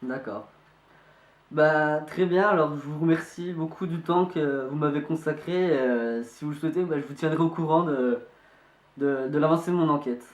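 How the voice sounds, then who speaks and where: A man's voice: a little high, lightly acted. Man acting as amateur investigator, hesitant, courteous, insistent, roomy echo. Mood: polite, insistent. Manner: polite, halting, hesitant. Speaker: amateur investigator presenting a video. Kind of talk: hesitant spoken phone inquiry. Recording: echoey room.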